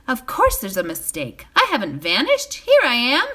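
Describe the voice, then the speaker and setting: A woman's voice: on the high side, huge pitch swings, normal speed, bright, forceful tone, voice pushed up, full character voice. A woman voicing a polite, uneasy male hobbit traveler in a storybook, courteous and defensive. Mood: apologetic, polite.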